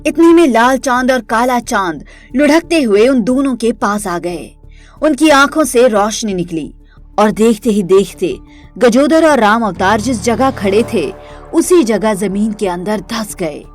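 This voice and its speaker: A woman reading scripted narration for an animated moral story as its storyteller. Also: fairly high pitch, a little slow, faint music behind.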